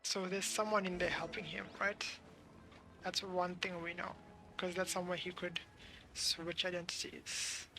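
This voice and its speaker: A man's voice: high-pitched, flat delivery, measured pace, bright, forceful tone, faint music behind. A man giving casual gameplay commentary as a streamer. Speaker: game streamer.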